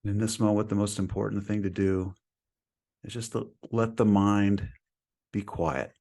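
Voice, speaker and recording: A man leading a guided meditation as a teacher, speaking in a calm, soothing manner with long pauses between phrases. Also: fairly low pitch.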